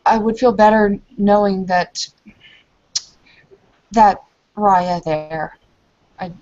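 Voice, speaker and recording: A woman voicing an earnest, humble kinfolk woman in a tabletop roleplaying game, speaking formally and hesitantly, with careful halting phrasing. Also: medium pitch, mellow, highs cut off. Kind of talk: improvised in-character roleplay.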